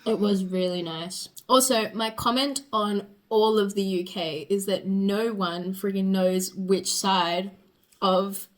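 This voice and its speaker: A woman's voice: mid-range voice, steady tone, slow. A young woman with an Australian accent, chatting casually to a travel vlog in a wry, rambling, giggly way. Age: young adult. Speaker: travel vlogger. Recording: music behind the voice.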